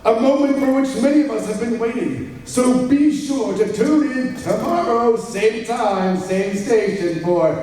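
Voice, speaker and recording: A man's voice: very high voice, unhurried, hardly any pauses, warm tone. A man acting a dramatic, eager 1940s radio serial announcer. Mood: suspenseful. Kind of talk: old-time serial narration. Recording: echoey, distant mic, faint noise.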